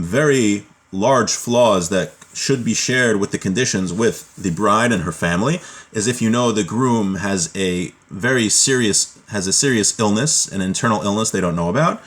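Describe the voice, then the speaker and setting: A man's voice: fairly low pitch, a bit bright. Man teaching a religious law class. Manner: explanatory, conversational, often checking with "right?". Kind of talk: informal lecture, reading Hebrew text aloud and explaining it.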